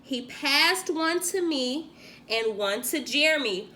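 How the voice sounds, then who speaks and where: A woman reads a children's story aloud in a high, bright voice. Her delivery is theatrical and very lively, swooping up and down as she acts out the tale. There is noticeable noise in the background of the recording. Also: takes it slowly. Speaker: teacher reading a picture book aloud. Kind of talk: expressive children's read-aloud.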